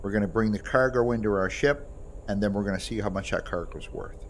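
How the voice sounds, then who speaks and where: Man, low pitch, casual gamer commentary, explanatory, unscripted, clear background noise. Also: brisk. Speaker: gamer demonstrating a voice pack. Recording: noisy home recording.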